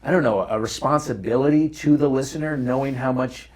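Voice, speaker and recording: A man's voice: medium pitch, a little fast, a little mellow. A man hosting a casual panel chat in a jokey, conversational way, acting out bits as he goes. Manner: jokey, acts out quoted lines. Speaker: talk show host.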